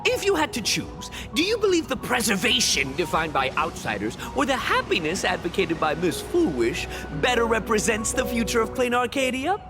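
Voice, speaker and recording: A man's voice: high-pitched, wildly swooping pitch, moderate pace. An actor playing a relentlessly upbeat male TV street reporter in a video game, with a showy, gushing broadcast delivery. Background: background music.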